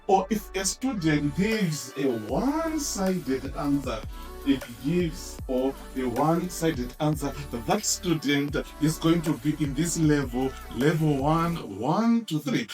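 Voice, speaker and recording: A man teaching an exam-technique lesson, explaining in a halting way and repeating key phrases for emphasis. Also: a little high, distant mic. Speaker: history teacher.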